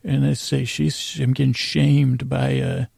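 A man hosting a bedtime podcast in a meandering monologue, mumbling and stumbling with long pauses. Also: mid-range voice, level tone, vocal fry, a little mellow, husky, no room sound, studio quality. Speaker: podcast host.

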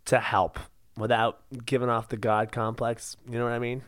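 A man's voice: mid-range voice, little pitch movement, moderate pace, fairly bright tone, clean tone, no echo, clean studio sound. A man co-hosting a podcast in casual, comedic conversation. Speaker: podcast co-host.

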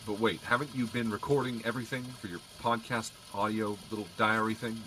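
A man's voice: lowish voice, brisk, slight background noise, lightly acted, poor audio. An actor playing a wry male police officer who teases and jokes dryly. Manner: dry joking, lively. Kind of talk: audio drama acting.

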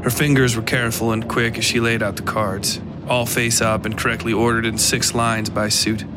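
A man with a mid-range voice narrates scripted horror fiction. Music plays clearly behind his storytelling. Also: medium pitch, little pitch movement, moderate pace.

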